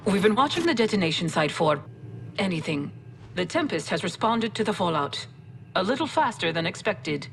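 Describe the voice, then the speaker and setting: Low, gravelly faction officer. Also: female voice, sing-song, normal speed, background noise. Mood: measured, confiding. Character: loyal, composed female Dynasty officer, familiar and wry. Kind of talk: conversational video game briefing.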